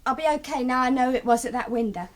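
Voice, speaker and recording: Woman acting a nervy maid in a radio play, high pitched, timid and polite to her betters. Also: fast talker, close to an everyday voice. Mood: hesitant, timid. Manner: deferential, plain-spoken. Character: timid, jumpy household maid.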